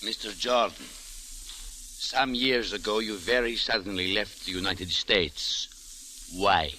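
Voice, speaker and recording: Stern low-voiced Egyptian official, hissy recording. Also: male voice, pushed and bright. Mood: coldly polite. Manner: deliberate, formal, menacingly polite. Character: stern, formal, haughty Egyptian government official. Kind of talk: old-time radio drama acting. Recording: hissy old radio recording.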